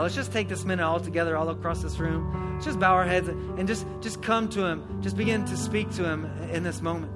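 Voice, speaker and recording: Male preacher, high-pitched and energetic, live sermon over instrumental music. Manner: energetic, conversational, swells to shouting. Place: church hall before a congregation.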